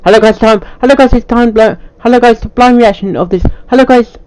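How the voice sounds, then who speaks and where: A man hosting a casual, unscripted reaction video, speaking haltingly and often stumbling, repeating and restarting his phrases. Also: very high-pitched, a little mellow, slight background noise, low-quality recording.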